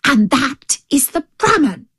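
A woman's voice: mid-range voice, animated, slow pace, no echo, studio quality. A woman voicing a savage, short-tempered male tiger in a storybook, growling and exasperated, with emphatic words. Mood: exasperated rage.

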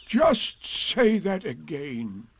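A man voicing a gloomy, sardonic old donkey from a children's storybook, with a drawn-out, lugubrious delivery. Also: a little high, big pitch swings, vocal fry, slightly rough, no room sound, pitched above the performer's own voice, heavily acted. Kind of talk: children's storybook character reading.

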